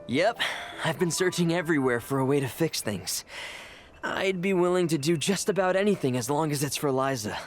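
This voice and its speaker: An actor playing a driven, scheming ritual caster in a fantasy video game, with a measured, assured, explanatory delivery. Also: male voice, on the high side, expressive, natural voice. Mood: earnest, determined. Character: driven, scheming adult male ritual caster, outwardly composed. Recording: music under the voice. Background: game music.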